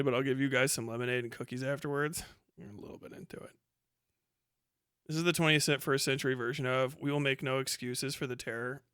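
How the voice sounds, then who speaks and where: A man livestreaming casual, profane commentary and reacting to chat, animated and sarcastic as he slips into imagined quotes. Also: mid-range voice, smooth, no room sound, clean studio sound. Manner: profane, slangy. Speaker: live streamer.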